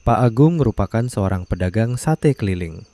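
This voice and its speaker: Man, storyteller narration, calm and measured, low mellow voice, dry close sound. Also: no room sound.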